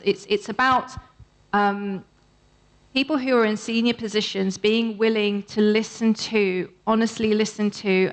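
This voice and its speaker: Measured woman answering as an academic panellist. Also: mid-range voice, vocal fry. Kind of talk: answering audience questions on a panel. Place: conference panel at a summit.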